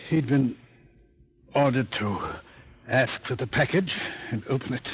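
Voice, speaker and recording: A man playing a gravely wounded, scheming professor in an old radio drama, confessing in halting, labored phrases. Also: medium pitch. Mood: strained, explaining. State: gravely wounded, weak, coughing. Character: dying scheming male professor, a hypnotist confessing murder. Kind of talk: 1950s radio drama acting. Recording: noisy old radio recording with clicks.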